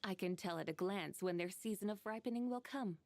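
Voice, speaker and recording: An actress playing a wise, serene immortal horse spirit in a video game, speaking in a measured, formal manner. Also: female voice, medium pitch, a little mellow, natural voice.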